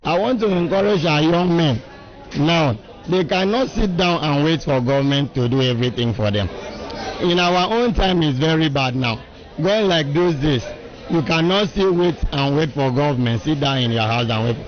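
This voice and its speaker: A man's voice: on the high side, lively intonation, moderate pace. A man, a cooperative president, speaking earnestly and exhorting young men at a covered market. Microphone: handheld reporter mic. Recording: lo-fi interview with music under it.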